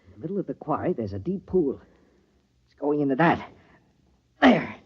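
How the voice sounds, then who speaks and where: An actor playing a desperate, commanding lover covering up a crime in an old radio thriller, giving urgent, bossy orders. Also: male voice, fairly high pitch, big pitch swings, moderate pace, husky, full character voice. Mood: grimly controlled. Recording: dull, lo-fi old radio recording.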